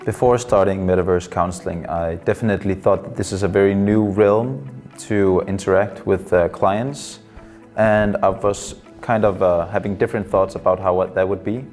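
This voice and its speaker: A Danish man in his twenties, a psychology student and counselor, giving thoughtful interview answers in English as a second language, often pausing with fillers. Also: deep voice, fairly even intonation, darkish tone, soft background music. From Denmark. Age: 20s.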